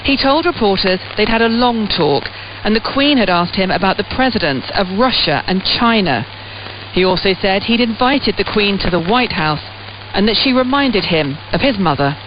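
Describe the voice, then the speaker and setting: A woman's voice: medium pitch, lively intonation, moderate pace, background noise. A woman royal correspondent filing a formal, descriptive scripted news report. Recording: muffled shortwave radio with music.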